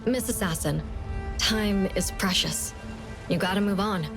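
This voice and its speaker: An actress playing a wry, world-weary female hunter in a game, composed and deliberate in her speech. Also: mid-range voice, music in the background, lightly acted. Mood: calmly pointed. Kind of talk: game character dialogue.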